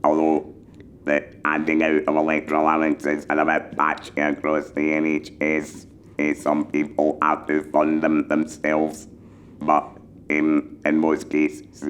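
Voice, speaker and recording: Male mentor, electrolarynx speaker: mechanical buzz, utterly flat intonation, slow and deliberately articulated advice. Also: very deep voice, a little hiss or hum. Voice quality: buzzing, monotone electrolarynx voice. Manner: measured, deliberately enunciated phrasing. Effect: mechanical electrolarynx vibration. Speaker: patient mentor for electrolarynx users. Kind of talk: explanatory talk giving advice.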